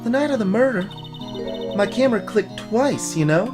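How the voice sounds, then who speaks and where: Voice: mid-range voice, lively intonation, normal speed, music in the background, voice pushed up, close to an everyday voice. A let's play host voicing a brash, folksy young woman with an American Southern drawl. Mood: matter-of-fact.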